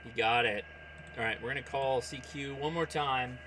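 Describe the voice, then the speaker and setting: A man hosting a hobby livestream, chatting casually and reacting aloud in an excitable way, often addressing people by name. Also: mid-range voice, normal speed, a little hiss or hum. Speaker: host of a ham radio livestream. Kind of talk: casual livestream chat and running commentary while operating radio.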